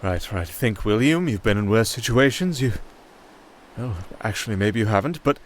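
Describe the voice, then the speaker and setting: A man's voice: on the low side, expressive, moderate pace, a little hiss or hum, close to an everyday voice. A man acting a nervous, rambling young castaway musician with a sardonic streak, in a fantasy ASMR roleplay. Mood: muttering, rueful.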